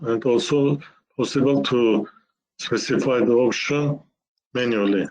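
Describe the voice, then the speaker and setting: Male webinar presenter, very unhurried and halting, medium pitch, walking through software steps. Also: poor audio. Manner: halting, step-by-step explaining in short phrases.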